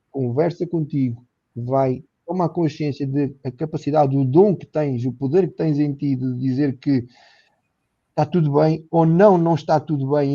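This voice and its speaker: Portuguese-accented male therapist guest, slightly high and gravelly, earnest and explanatory. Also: mellow, lo-fi sound. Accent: European Portuguese. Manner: earnest, explanatory, repeats words while thinking. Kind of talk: conversational podcast interview.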